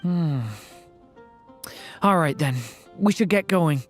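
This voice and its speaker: A woman voicing a calm, reserved youngster in a fantasy video game. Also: lowish voice, slow pace, soft background music. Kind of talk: video game character acting.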